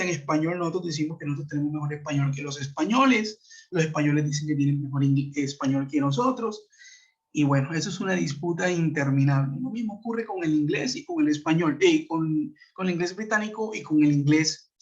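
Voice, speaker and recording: A man's voice: a little high, brisk. A man from Colombia with a Colombian Spanish accent, teaching English in a web conference lesson and explaining with many fillers and tag questions. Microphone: computer mic on a video call. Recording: muffled lo-fi web call audio.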